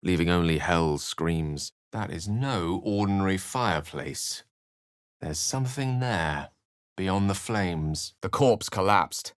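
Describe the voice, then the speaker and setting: An actor playing a learned, dramatic wizard adventurer in a video game, speaking in an articulate, theatrical storytelling manner. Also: male voice, low voice, expressive, slow, no echo, clean studio sound. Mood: alert, musing. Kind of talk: scripted video game character lines and narration.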